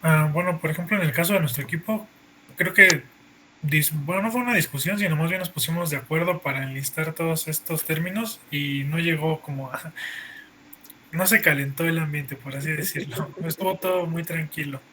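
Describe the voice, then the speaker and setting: A man's voice: fairly high pitch, moderate pace, sharp tone, a little hiss or hum. A young man, a student giving a casual spoken answer in class.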